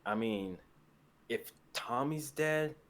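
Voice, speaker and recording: A man's voice: mid-range voice, lively intonation, slow, a bit bright. A young man playing an optimistic, easygoing store worker in a dramatic script reading. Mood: shaken, uneasy. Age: young adult.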